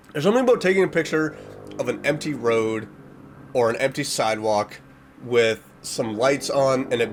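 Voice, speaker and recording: A man giving casual reaction commentary, reading aloud and reacting expressively, drawing out words for emphasis. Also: medium pitch. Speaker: reaction video host.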